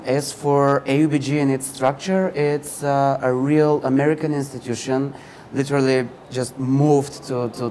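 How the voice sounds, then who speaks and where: A man's voice: mid-range voice, darkish tone. Bulgarian-accented man with ambient noise behind. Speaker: university recruiter and admissions counselor, interview guest. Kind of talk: informative interview answers. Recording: clear background ambient noise.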